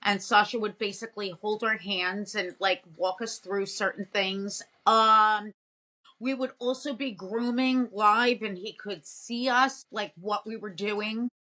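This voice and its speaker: Bright punchy lady co-host, slow and effusive, telling stories on a video call. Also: medium pitch, steady tone, rough recording. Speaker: co-host, dog groomer. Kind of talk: casual chat.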